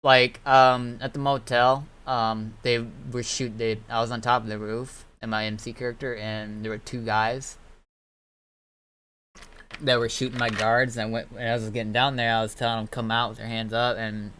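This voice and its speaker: A man's voice: mid-range voice, flat delivery, normal speed, fairly bright tone, a little hiss or hum. A man casually telling a rambling, sweary anecdote over voice chat during a game stream. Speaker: game server owner and admin. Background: music.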